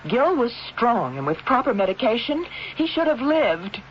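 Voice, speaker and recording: A woman's voice: fairly high pitch, big pitch swings, moderate pace. A woman acting in a 1970s radio drama as a defensive, indignant widow with an accusing, wounded manner. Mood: accusing, defiant. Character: defensive, indignant widow, a younger former model. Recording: muffled, hissy 1970s radio broadcast.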